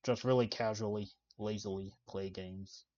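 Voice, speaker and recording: Male gaming host, low-pitched and soft-spoken, laid-back commentary, lo-fi mic sound. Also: moderate pace. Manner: relaxed, many "uh" fillers, explains the game while playing. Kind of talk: casual gameplay commentary. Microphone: desk microphone.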